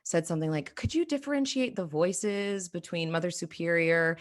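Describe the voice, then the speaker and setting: A woman's voice: fairly low pitch, normal speed. A woman actor telling anecdotes in an informal, casual interview manner.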